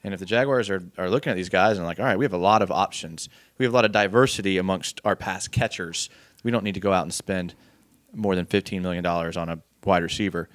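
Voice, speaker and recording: A man co-hosting a casual sports podcast, explaining his points in a measured way. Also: low voice, no room sound. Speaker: podcast co-host.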